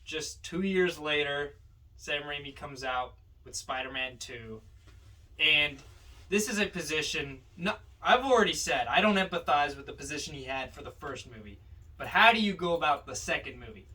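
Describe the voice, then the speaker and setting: Male podcast co-host, slightly high bright voice, talking movies casually from off the mic. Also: lively intonation, normal speed. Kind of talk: casual film discussion. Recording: distant mic.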